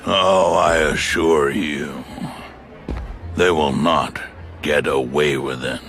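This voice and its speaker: An actor playing a powerful, arrogant tycoon in a video game, speaking with pompous, formal diction and a gravelly edge. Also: male voice, extremely low pitch, steady tone, slow, fairly bright tone, faint music behind, heavily acted. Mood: smug, genial. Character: powerful, arrogant male tycoon, smooth but quick to anger. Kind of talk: video game cutscene acting.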